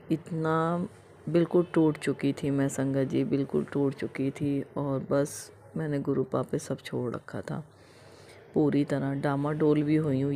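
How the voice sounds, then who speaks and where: A middle-aged woman with a hoarse throat, sharing an emotional devotional thanksgiving testimony in a repetitive, chant-like way. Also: sits low, warm tone, a little hiss or hum.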